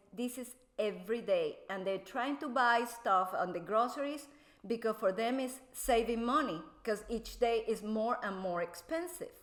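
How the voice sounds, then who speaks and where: A Venezuelan woman speaking English as a second language, explaining things to a show host in a halting way with many fillers. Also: on the high side, fairly bright tone. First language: Spanish. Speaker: guest. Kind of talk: casual explanatory chat.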